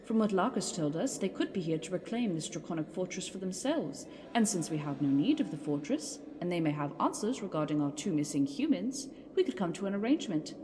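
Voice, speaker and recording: An actress playing a cruel, haughty dark-elf warrior in a fantasy audio drama, mocking and condescending. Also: female voice, on the low side, expressive, fairly quick, hardly any pauses, noisy background, close to an everyday voice. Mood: cool disdain. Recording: long hall reverb, music bed underneath. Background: music.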